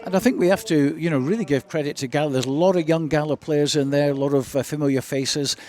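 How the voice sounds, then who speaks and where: A man's voice: on the high side, steady tone, fast, darkish tone. A man presenting live post-match chat on sports radio.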